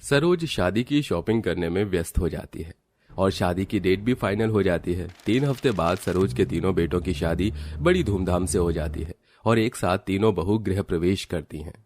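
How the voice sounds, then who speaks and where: A man narrating a cartoon story in a steady, plain way. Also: low voice, normal speed, slight background noise.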